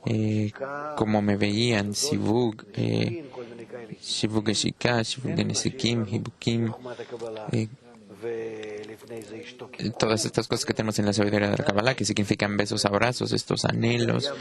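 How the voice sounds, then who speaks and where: A man with a low, gravelly voice gives an unscripted lesson in a language that is not his first. He talks haltingly, with frequent pauses and restarts. A crowd can be heard clearly behind him. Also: level tone. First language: not Spanish. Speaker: Kabbalah teacher. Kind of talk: unscripted lecture.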